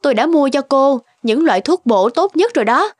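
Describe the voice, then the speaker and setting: A woman's voice: high-pitched, expressive, fairly quick. A woman playing a self-absorbed, persuasive actress wife in a cartoon, breezy and insistent. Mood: breezy, businesslike. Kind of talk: Vietnamese cartoon voice acting. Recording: music behind the voice.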